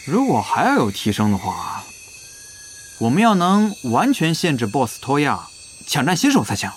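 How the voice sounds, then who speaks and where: A friendly, eager young man in an audio drama. His slightly high voice is extremely animated. Faint night ambience with insects is behind. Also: moderate pace, a little hiss or hum. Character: eager, friendly young male guild teammate.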